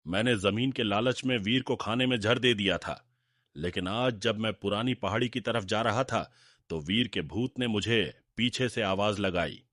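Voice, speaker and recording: A man's voice: lowish voice, moderate pace, a bit bright, no echo, studio quality. A man voicing a greedy, cowardly village moneylender in a Hindi cartoon. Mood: frightened pleading. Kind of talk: Hindi cartoon character dialogue.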